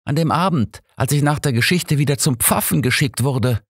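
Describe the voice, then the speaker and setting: A gloating, menacing male murderer, acted out by an audiobook narrator, taunting his victim with theatrical false cheer. The voice often lifts higher, in a very dry recording. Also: moderate pace, slightly rough, no echo. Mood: resentful. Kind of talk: audiobook character acting.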